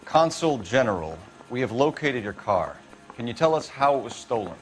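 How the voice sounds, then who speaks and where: A male actor playing a hard-nosed American police detective with a clipped, forceful interrogating manner in a noir crime video game. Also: medium pitch, sing-song, normal speed, lightly acted. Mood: formal. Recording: background music under dialogue.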